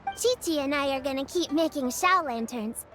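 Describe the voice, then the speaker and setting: A woman voicing a cute, excitable, curious little girl character in a video game, with a childlike, exclamatory delivery. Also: sits high, normal speed, lightly acted. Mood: eager. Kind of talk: animated video game dialogue. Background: music.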